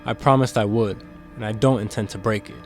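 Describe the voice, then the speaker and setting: A man's voice: fairly low pitch, fairly even intonation, brisk, faint music behind, lightly acted. A man playing a guarded, conscience-stricken demon in an audio drama, earnest and defensive in manner. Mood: discreet, earnest. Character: guarded, conscience-stricken demon insider smuggling secrets to captive.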